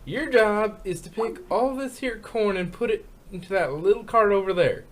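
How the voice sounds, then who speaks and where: A man's voice: high-pitched, normal speed, close to an everyday voice. A man voicing a brash, bossy female farm pony from a story, with a folksy drawl.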